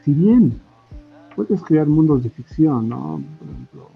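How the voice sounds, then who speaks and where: Male interview guest, Bolivian accent, very slow and reflective delivery with halting phrases, lo-fi sound. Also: a little high, lively intonation, creaky. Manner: hesitant, thoughtful, often ending on tag questions. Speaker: guest, comic artist and illustrator. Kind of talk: casual interview conversation. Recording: muffled lo-fi audio with faint music. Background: faint background music.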